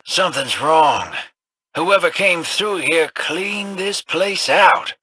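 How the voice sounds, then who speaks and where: A brash pirate captain with a swaggering, gruff drawl. His voice is husky and bright with a lively lilt, and he takes his time. The sound is close and dry, game dialogue. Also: fairly high pitch, slow pace, dry recording, full character voice. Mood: cocky. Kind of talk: video game voice acting.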